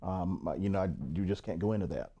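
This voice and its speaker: A man's voice: sits low, fairly even intonation, fairly quick, mellow, dull audio. A man answering interview questions as a school district official. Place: small office, indoors.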